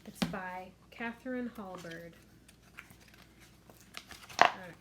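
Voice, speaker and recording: Gentle middle-aged woman reading a picture book. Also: fairly low pitch, takes it slowly, very noisy. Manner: gentle, expressive storyteller, sing-song lifts. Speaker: children's librarian. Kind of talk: storytime read-aloud for children.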